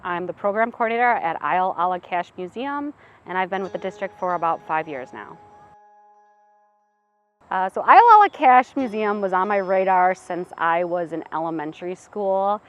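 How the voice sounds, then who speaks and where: A woman's voice: fairly low pitch, animated, moderate pace. A woman in her thirties from Illinois, a museum program coordinator answering interview questions in an earnest, reflective way with frequent "uh" and "um". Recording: slightly muffled audio with faint music behind.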